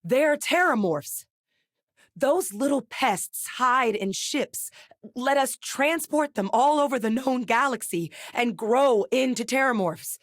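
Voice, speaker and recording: A seasoned woman scientist and soldier, played by an actress for a video game. Her voice sits on the low side and is recorded close and dry, with no room sound. She speaks in a measured, matter-of-fact way, guarded yet earnest and determined. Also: no room sound, close to an everyday voice. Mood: alarmed, urgent. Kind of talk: video game character dialogue.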